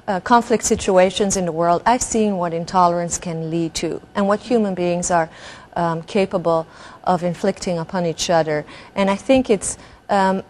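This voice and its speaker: Low-pitched woman, earnest. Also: slight background noise. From Belgium. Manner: articulate, earnest, considered. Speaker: interview guest, nonprofit executive director. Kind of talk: earnest spoken interview answers.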